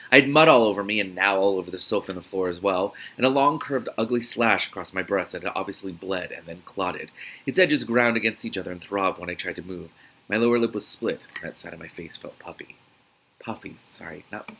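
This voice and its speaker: A man reading a novel aloud as host of a reaction series, in a dramatic, expressive manner. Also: on the low side, slight background noise, low-quality recording.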